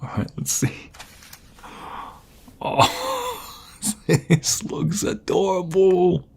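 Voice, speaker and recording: A very husky, breathy husband played by a man in an intimate ASMR roleplay, with a gravelly creak and an unhurried pace. He is tender and excitable, halting and repeating himself as emotion takes over. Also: sits high, heavily acted. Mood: delighted, giddy. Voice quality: breathy, husky, often dropping to whispers. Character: tender, excitable husband overjoyed at impending fatherhood.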